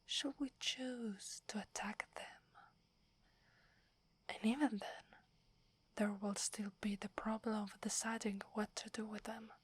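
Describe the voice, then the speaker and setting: A woman with an Italian accent playing a submissive, earnest female werewolf in a soft, breathy, hesitant ASMR whisper. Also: mid-range voice. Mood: cautious, measured. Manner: hesitant, respectful, measured.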